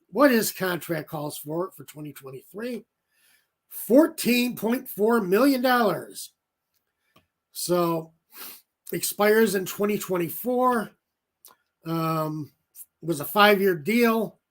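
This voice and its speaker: A man hosting a sports talk show on his own, giving rambling commentary full of fillers and self-corrections, his voice going raspy from talking for an hour. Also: high voice, a bit bright. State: voice getting raspy. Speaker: solo sports show host.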